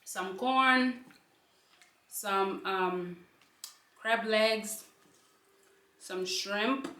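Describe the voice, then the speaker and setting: Slow-talking woman, echoey room. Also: medium pitch, pushed and bright. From South Africa. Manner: chatty, talks to the camera between bites. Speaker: channel host. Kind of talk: casual chat while eating. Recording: echoey room, mic at a distance.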